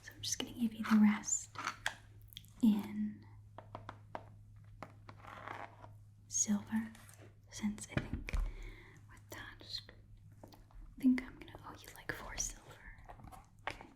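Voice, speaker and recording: A woman voicing a friendly, odd little goblin pawnshop keeper in a soft whispered ASMR roleplay, chatty and hesitant. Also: fairly high pitch, fairly even intonation, measured pace, pauses often, close to an everyday voice. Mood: haggling. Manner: hesitant, rambling, chatty, quirky politeness. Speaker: ASMR performer.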